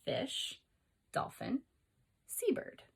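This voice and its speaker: A woman teacher reading aloud and explaining to young children, instructing them with questions and prompts. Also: very slow. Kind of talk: expressive read-aloud and lesson for young children.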